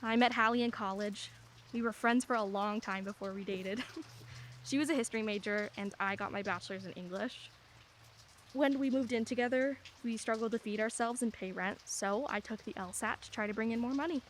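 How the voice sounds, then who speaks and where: An actress playing a middle-aged single mother, soft and soothing, with self-deprecating humor. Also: a little high, fairly quick, a little hiss or hum, close to an everyday voice. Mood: chatty. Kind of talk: radio play acting.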